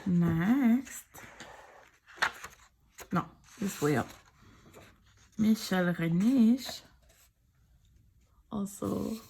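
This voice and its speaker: A middle-aged woman with a French accent, speaking French as her first language, chats softly, often in a whisper, while showing her sketchbook drawings at home. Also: medium pitch, vocal fry, husky. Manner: soft-spoken, often whispering. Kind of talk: casual chat.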